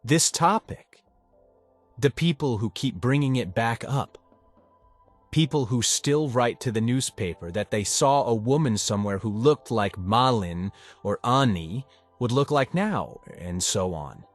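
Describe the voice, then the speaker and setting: A man voicing a dismissive, condescending man in an audiobook drama, speaking in a measured way with pauses. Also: mid-range voice, animated, faint music behind, close to an everyday voice. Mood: dismissive, pitying. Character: condescending, dismissive man arguing with old classmate.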